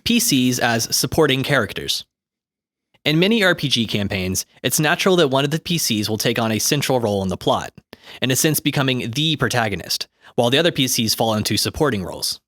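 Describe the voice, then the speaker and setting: A man delivers scripted, instructive narration at a brisk clip. His voice sits at a medium pitch, and he articulates his explanations in a very dry, close recording. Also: very animated, fairly bright tone, no room sound. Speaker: co-host reading game rulebook commentary.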